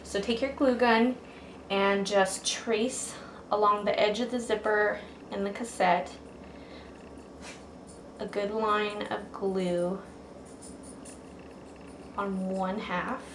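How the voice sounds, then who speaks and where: Female craft tutorial host, informal step-by-step delivery, medium pitch, roomy echoing sound. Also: fairly even intonation, slow pace, long pauses. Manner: informal, addresses viewers as guys. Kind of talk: casual step-by-step how-to instruction. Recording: echoey room with faint background noise.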